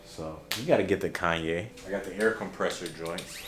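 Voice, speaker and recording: A man in his thirties gives a casual tour of his small barber studio, chatting in relaxed slang with animated jumps in pitch and loudness. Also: normal speed, noisy background. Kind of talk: casual vlog walkthrough. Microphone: handheld camera mic, moving around the room. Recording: roomy echo, somewhat far from mic, music behind.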